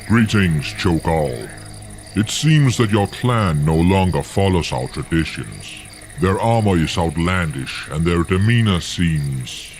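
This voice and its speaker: A man's voice: deep voice, expressive, slow, darkish tone, a little hiss or hum, heavily acted. A man playing a stern, proud and impatient orc chieftain in a fantasy game, grave and commanding. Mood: proud disdain. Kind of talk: fantasy game cutscene acting. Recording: music under the voice.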